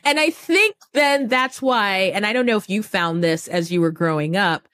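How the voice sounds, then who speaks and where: A woman's voice: medium pitch, moderate pace, dry recording, studio quality. A young woman hosting a podcast interview, talking animatedly and checking in with "right?" as she goes. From Cameroonian family, raised partly in the United States. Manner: animated, affirming, frequent "right?" tags. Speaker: podcast host. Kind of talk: conversational interview.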